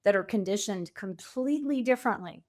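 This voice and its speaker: A woman's voice: medium pitch, expressive, a little fast, darkish tone. A middle-aged woman speaking as an interview guest, reflective and conversational. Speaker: podcast guest, coach and author.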